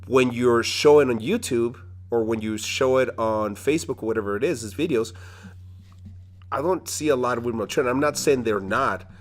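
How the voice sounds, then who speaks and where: A man's voice: mid-range voice, normal speed, slight background noise. A man from Mexico hosting a podcast interview, talking in a loose, rambling way with lots of restarts. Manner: rambling, many restarts and fillers. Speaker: podcast host. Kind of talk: casual conversational interview.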